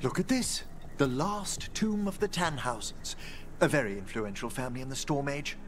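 A man's voice: medium pitch, expressive, normal speed, background noise, natural voice. A man playing a courteous, scholarly middle-aged necromancer in a video game, with formal, precise speech. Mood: solemn.

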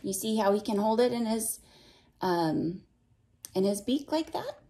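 A woman's voice: mid-range voice, expressive, a little slow, warm tone, a little hiss or hum. A woman reading a picture book aloud to young children, with sing-song verse and simple explanations and questions. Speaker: read-aloud storyteller for children.

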